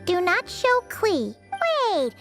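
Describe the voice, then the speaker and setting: An actress voicing a tiny, childlike, excitable fairy companion in a video game, squeaky, bubbly and curious, with music behind her. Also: slow, heavily acted. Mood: curious, suspicious. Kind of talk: video game dialogue acting. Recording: music playing under voice.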